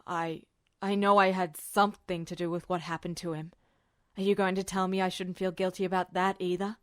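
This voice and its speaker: A woman's voice: fairly low pitch, flat delivery, a bit bright, no room sound, studio quality, voice pushed down, natural voice. A woman putting on a shaken, guilty man, a colonel. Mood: guilty, halting. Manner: halting, stammering, disbelieving. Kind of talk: podfic reading of fan fiction.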